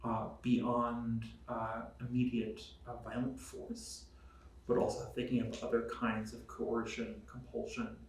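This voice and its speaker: A man speaking on an academic panel, halting with many fillers. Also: mid-range voice, monotone. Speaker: panelist, historian. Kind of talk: spontaneous academic panel remarks. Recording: roomy echo, distant mic, faint noise.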